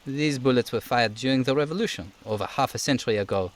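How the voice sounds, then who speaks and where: A man's voice: medium pitch, fast, lightly acted. Man putting on a curt, world-weary police lieutenant. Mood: dismissive, matter-of-fact. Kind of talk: amateur video game role voice acting.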